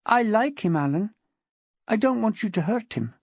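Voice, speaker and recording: A woman's voice: mid-range voice, lively intonation, normal speed, dry recording, voice pushed up. A woman voicing a placating, consoling woman with a sing-song, almost hypnotic delivery in an audiobook. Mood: soothing. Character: placating woman soothing her dangerous ex-husband, sing-song.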